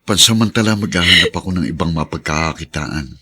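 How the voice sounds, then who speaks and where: Radio drama role: earnest farming family man, low, rough-edged, encouraging. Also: fast talker, pushed and bright, heavily acted. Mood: reassuring. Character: steadfast, reassuring poor farmer husband and father.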